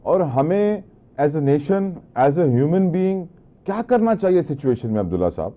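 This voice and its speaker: A man's voice: a little high, very animated, measured pace. Pakistani male TV host, slightly muffled audio. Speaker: TV talk show host. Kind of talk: current affairs presenting and interviewing.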